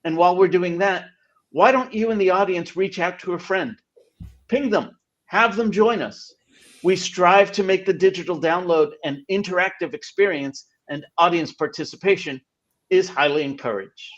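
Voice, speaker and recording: A male host who opens the show with polished, upbeat announcer delivery. His voice sits on the higher side. The audio is dull and lo-fi, like an online call. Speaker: podcast host. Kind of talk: scripted show introduction and interviewing.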